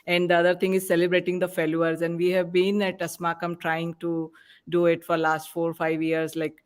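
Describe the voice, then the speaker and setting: A man speaks in a flat, even tone on a podcast panel. His phrases are broken by frequent fillers. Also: fairly high pitch, moderate pace, clean tone. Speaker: panelist from the organizing group. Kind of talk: casual podcast panel talk.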